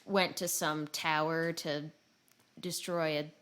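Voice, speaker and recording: A woman's voice: deep voice, level tone, slow, fairly bright tone. A woman chatting casually and informally about video games as a podcast co-host, rambling and self-deprecating.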